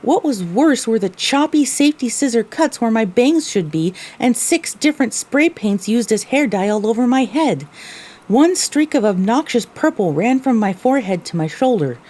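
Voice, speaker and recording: An expressive, high-voiced young pony heroine. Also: female voice, moderate pace. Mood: downcast. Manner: expressive, theatrical. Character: young female pony, weary, sarcastic, curious wasteland survivor. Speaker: narrator and lead character. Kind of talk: first-person dramatic audio narration.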